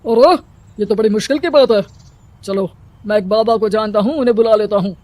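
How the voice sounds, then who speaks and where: A woman's voice: medium pitch, a little fast, mellow, no room sound, lightly acted. A woman voicing a scheming male village headman in a storybook cartoon, commanding and harsh. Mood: grave. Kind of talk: storybook voice acting.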